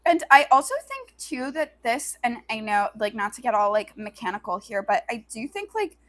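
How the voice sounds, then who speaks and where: A woman's voice: fairly high pitch, sing-song, moderate pace. A woman co-hosting a review podcast, speaking casually in a hedging, playful, crude-mouthed way. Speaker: podcast co-host reviewing short fiction. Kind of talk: casual banter and review chat.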